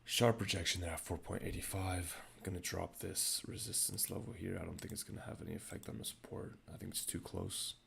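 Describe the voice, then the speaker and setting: A young man with a raspy voice, thinking aloud in low-key, casual commentary while streaming his day trading live. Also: flat delivery, a little fast, hardly any pauses, fairly bright tone, a little hiss or hum. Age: young adult.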